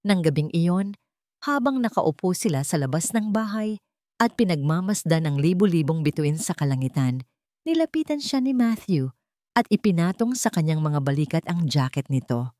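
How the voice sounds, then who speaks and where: A woman's voice: lowish voice, sing-song, normal speed, dark tone, dry recording, clean studio sound. A woman with a Filipino accent reading a scripted romance story as a dramatic narrator.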